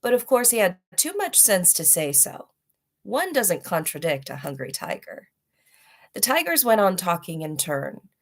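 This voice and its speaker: A woman with a slightly low voice reads to children as a storybook host. Her phrasing is deliberate and dramatic, and she keeps an informal, read-aloud style. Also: very animated, vocal fry.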